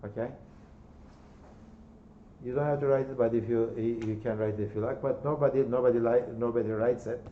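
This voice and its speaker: A man's voice: medium pitch, steady tone, a little fast, long pauses, slight background noise. A man with a Turkish accent giving a university lecture, repeating himself and checking in with "okay?" and "right?". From Turkey. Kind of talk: classroom lecture. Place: classroom. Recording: dull, echoey lecture hall recording.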